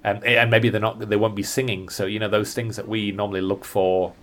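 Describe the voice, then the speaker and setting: Male podcast guest, tour guide type, lowish voice, conversational, thinks out loud. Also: little pitch movement, fairly quick.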